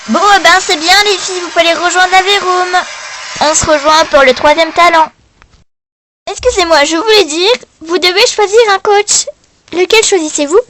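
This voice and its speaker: A female performer playing presenter and coaches in an improvised talent-show parody, speaking in a hesitant, halting way. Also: very high-pitched, steady tone, fairly bright tone, a little hiss or hum. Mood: brisk hosting.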